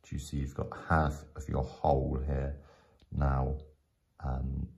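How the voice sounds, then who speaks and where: Male tutor for step-by-step lesson narration: very low pitch, gravelly creak, patient explanatory delivery. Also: completely flat delivery, a little mellow. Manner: patient, explanatory, with frequent pauses. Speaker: teacher.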